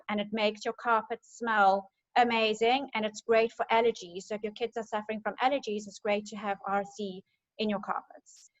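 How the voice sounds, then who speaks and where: A woman talks through her topic in a chatty, enthusiastic manner, full of little ums. Her pitch stays fairly level and even. The sound is dull and lo-fi, like an online call. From South Africa. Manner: chatty, enthusiastic, many fillers. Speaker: essential oils presenter. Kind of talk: casual group presentation.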